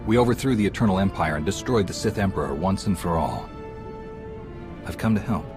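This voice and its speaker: An actor playing a steady, heroic Jedi commander in a video game, composed and earnest. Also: male voice, low-pitched, fairly quick. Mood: composed, determined. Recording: background music under the voice.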